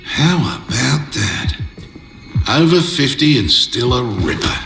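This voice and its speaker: An actor playing a cocky, rough middle-aged mercenary crook with brash, slangy talk in a video game cinematic. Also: male voice, medium pitch, very animated, slow, fairly bright tone, roomy sound, soft background music, exaggerated voice.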